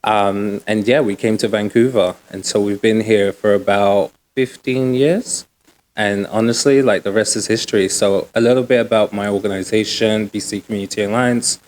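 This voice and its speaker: A man talks easily as an interview guest. His voice sits low, and he is relaxed and slangy, with plenty of ums and likes. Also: moderate pace. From Uganda, raised in the UK. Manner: relaxed, slangy, many fillers. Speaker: guest, nonprofit co-founder. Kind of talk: casual interview talk.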